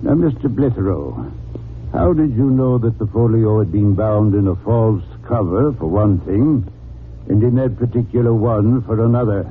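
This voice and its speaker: A man's voice: fairly low pitch, moderate pace, lightly acted. An imperious genius detective played by a male actor in a 1940s radio mystery. He is formal, precise and utterly unflappable. The old recording is tinny and faintly hissy. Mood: commanding, accusing. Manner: commanding, precise, formal, dismissive. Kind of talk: 1940s radio drama acting.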